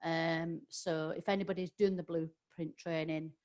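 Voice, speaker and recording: A woman's voice: low-pitched, little pitch movement, unhurried, darkish tone. A middle-aged woman with a Yorkshire accent, giving a live online training webinar in a chatty way, full of ums and restarts.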